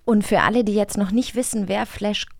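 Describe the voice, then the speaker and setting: A woman's voice: mid-range voice, fairly even intonation, fairly quick, creaky, no room sound. A woman co-hosting a podcast, asking questions in a casual conversational style. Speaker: podcast co-host.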